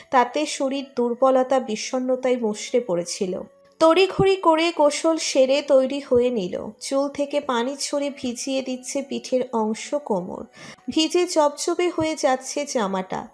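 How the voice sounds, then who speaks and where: A woman's voice: sits high, measured pace, darkish tone. A woman reading a scripted romantic story as its narrator.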